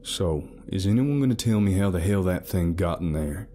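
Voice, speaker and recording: A man's voice: low-pitched, expressive, moderate pace, warm tone, slight background noise. A man voicing a stern middle-aged father who barks orders. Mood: commanding. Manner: barking and commanding.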